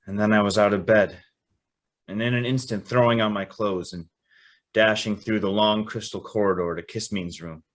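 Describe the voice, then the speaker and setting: A man's voice: lowish voice, fairly even intonation, moderate pace, natural voice. A man acting a bewildered, earnest young man who tells and lives his story in a radio drama, a little hesitant. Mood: tense narration. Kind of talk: old-time radio drama acting and first-person narration.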